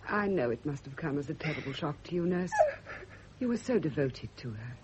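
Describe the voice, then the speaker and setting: An actress in a radio drama playing a composed, subtly manipulative young gentlewoman, gentle and solicitous in manner. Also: low voice, lively intonation, a little fast. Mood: tender, soothing. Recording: dull, noisy old radio recording.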